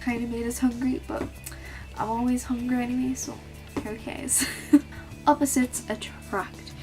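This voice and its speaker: A teenage girl talking casually about books in a vlog, with a calm, flat-toned and slightly awkward way of speaking. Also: fairly high pitch, measured pace, music in the background. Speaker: book vlogger. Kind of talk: casual book tag vlog.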